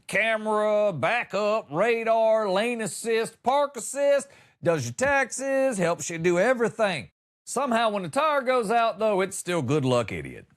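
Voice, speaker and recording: A middle-aged American man hosting a comedy podcast, riffing in a wry, exaggerating monologue and acting out lines. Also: high-pitched. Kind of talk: humorous monologue on news stories.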